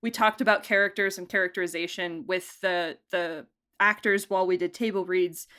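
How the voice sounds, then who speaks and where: A woman being interviewed as an audio drama director, talking casually and enthusiastically like an animated storyteller. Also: fairly low pitch, normal speed, smooth. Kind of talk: casual behind-the-scenes chat.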